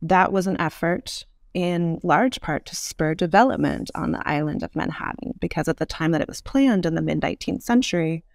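A woman's voice: lowish voice, moderate pace, gravelly, hardly any pauses, dry recording, studio quality. A woman giving thoughtful, articulate explanations as an interview guest, weighing her points with care. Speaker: podcast guest, urban design expert.

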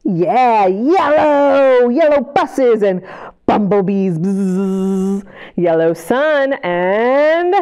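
A woman librarian reading picture books aloud at family storytime, animated and sing-song, gasping with delight and asking young listeners questions. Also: medium pitch. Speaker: children's librarian.

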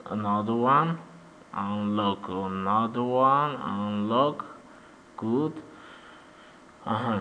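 Slow-talking Armenian-accented man tutoring. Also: on the low side, a bit bright, a little hiss or hum. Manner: halting, simple non-native phrasing with many "uh-huh" fillers. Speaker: 3D animation tutor. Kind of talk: screen-recorded software tutorial.

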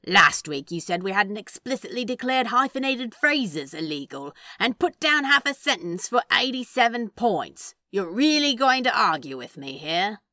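Woman voicing a sly, sardonic male demon, lively. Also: fairly high pitch, normal speed, few pauses, dry recording, clean studio sound. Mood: sly teasing. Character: teasing, sardonic male demon playing a board game. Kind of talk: fan fiction dialogue reading.

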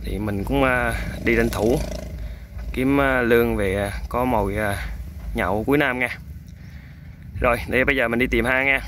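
A man with a Vietnamese accent hosting an outdoor eel-fishing vlog by a riverbank. He gives running commentary to viewers while he works, with excited exclamations. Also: medium pitch, moderate pace, pauses often, slight background noise. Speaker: eel-fishing channel host.

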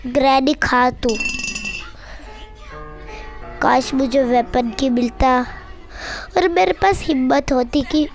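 A young girl with a very high voice chats casually over a video game. She talks slowly and playfully, as a player commenting on her own game. Music plays behind her. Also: steady tone. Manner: chatty, playful, breaks into nonsense sounds and singing. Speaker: gamer commentating on own play.

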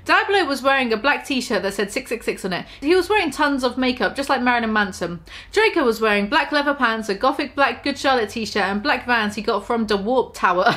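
A young woman with a high voice delivers a comic dramatic reading. She is theatrical and mock-serious, overplaying the text and stepping out of it with amused remarks. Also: animated, a little fast, fairly bright tone. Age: 20s. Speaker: online video host. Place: small room at home.

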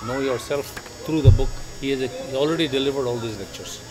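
A man's voice: mid-range voice, brisk, a crowd in the background. A man at an indoor market booth who questions and explains points in a casual debate. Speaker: booth volunteer. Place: large indoor flea market hall. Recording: busy crowded hall behind.

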